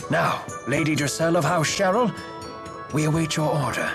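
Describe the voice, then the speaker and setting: An actor playing a courteous, wise older male steward and strategist in a game, speaking formally and with measured care. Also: a little high, lightly acted. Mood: grave, composed. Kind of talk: English game dub acting. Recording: game soundtrack music behind the voice. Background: background music.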